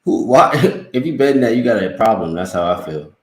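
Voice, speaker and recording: A man's voice: lowish voice, expressive, brisk. A man on a sports betting panel talking bluntly and emphatically in casual slang, recorded in an echoey room.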